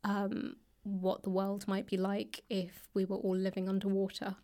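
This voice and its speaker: A woman talking casually as an author guest, tentative and modest, quick to laugh. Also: medium pitch, monotone, warm tone. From England. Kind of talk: casual interview conversation. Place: library room.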